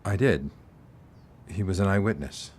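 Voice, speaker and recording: An actor playing a worried investigator who uses a wheelchair and pleads with his sister in an audio drama, with a rough voice. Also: low voice, normal speed, darkish tone, natural voice. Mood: pleading.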